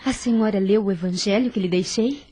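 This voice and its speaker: A woman playing a devout, caring young woman at a sickbed in an audio drama, gentle, earnest and consoling. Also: mid-range voice, brisk, breathy edge, natural voice. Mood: gentle, pleased. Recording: soft music behind voice.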